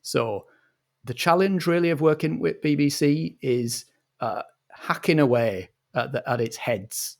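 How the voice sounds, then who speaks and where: A man playing a pompous, earnest auteur director, husky and halting, in a mock documentary interview. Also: a little high, a little mellow. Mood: portentous. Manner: halting, portentous, repeats words.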